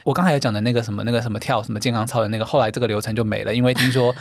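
Fast-talking Taiwanese Mandarin man explaining. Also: mid-range voice, steady tone, no room sound. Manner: chatty, explains at length with many fillers and repeated words. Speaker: guest, founder of a dating event organizer. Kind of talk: casual podcast interview.

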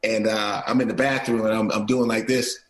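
A man's voice: on the low side, level tone, a little fast, reverberant. A middle-aged man, an actor and producer, talking earnestly as an interview guest, restarting phrases and often checking in with "right?". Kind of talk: casual interview talk.

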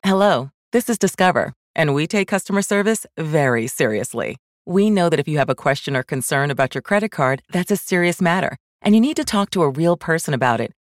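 A woman reading a modern commercial script in a mock-serious, deadpan way. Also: low-pitched, brisk, hardly any pauses, no room sound, clean studio sound. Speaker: advertisement voice-over.

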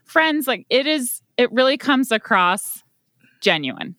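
A woman co-hosting a podcast, speaking warmly and gushing with compliments. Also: on the high side, sing-song, slow pace, dry recording, studio quality. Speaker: podcast co-host. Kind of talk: casual interview.

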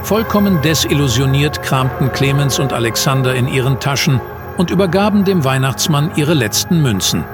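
A man's voice: medium pitch, animated, normal speed. A man reading scripted storybook narration as narrator of a comic Christmas audio play. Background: music.

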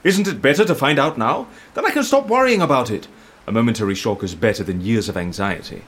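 Wry male agent, lively intonation, sardonic questioning, audio drama. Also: mid-range voice, quick pace, husky. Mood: animated. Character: wry agent serving a death-fearing Venetian client.

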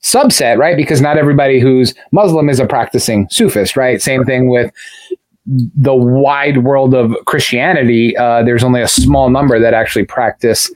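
A man's voice: mid-range voice, moderate pace, a little mellow, no room sound, poor audio. A man co-hosting a casual discussion, talking in a discursive way and often checking in with his listeners. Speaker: podcast co-host.

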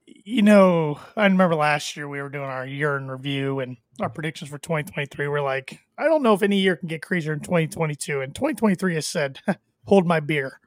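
A man with a cold co-hosting a podcast, his voice a touch nasal and set fairly high for a man. He chats loosely, wanders off on tangents and restarts sentences. The recording is close and dry, with almost no room sound. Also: brisk, no room sound. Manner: chatty, rambling with filler words and restarts. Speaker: podcast co-host. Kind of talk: casual wrestling review chat.

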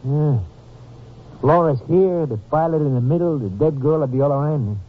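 An actor playing an eager lawman with a husky voice in a 1950s radio drama. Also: fairly high pitch, moderate pace, lightly acted. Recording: tinny, muffled old radio recording.

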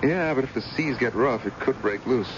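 A man's voice: mid-range voice, moderate pace, natural voice. An actor playing a selfish, condescending husband in a vintage radio drama, dismissive and domineering toward his wife. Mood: irritated, suspicious. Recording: dull, very noisy old radio recording.

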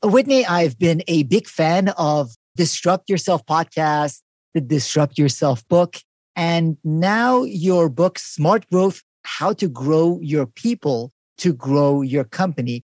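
A man's voice: on the high side, lively intonation, slow pace, a little mellow, no echo. A man hosting a leadership podcast, introducing and interviewing a guest.

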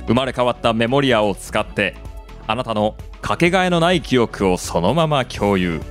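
A man's voice: medium pitch, animated, natural voice. Man acting a polished corporate announcer over music. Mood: polished promotional. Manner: measured, formal, promotional. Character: polished male corporate announcer launching a futuristic product. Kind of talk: scripted advertisement within radio drama.

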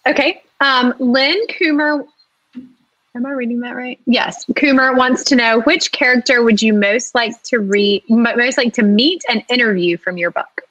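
A woman's voice: on the high side, normal speed, a bit bright, no room sound, rough recording. A woman co-host reading out audience questions in a casual live group chat.

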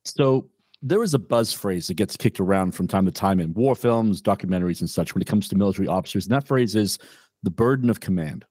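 A man hosting an interview podcast, asking questions briskly and formally. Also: sits low, mellow, breathy edge, no room sound. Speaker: podcast host.

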